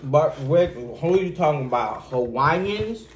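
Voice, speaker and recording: A man's voice: fairly high pitch, lively intonation, unhurried, heavy background noise. A young man rambling earnestly and arguing a point while eating at a kitchen table. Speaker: mukbang co-host. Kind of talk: casual banter while eating. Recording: echoey kitchen with faint ambience.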